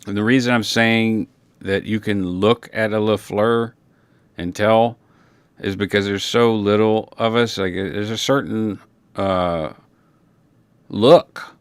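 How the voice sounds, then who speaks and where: Deep-voiced, slow man, native Creole French speaker. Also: fairly even intonation, clean tone. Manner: halting, searching for words, trailing off. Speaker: personal documentary narrator. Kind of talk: reflective, unscripted personal monologue.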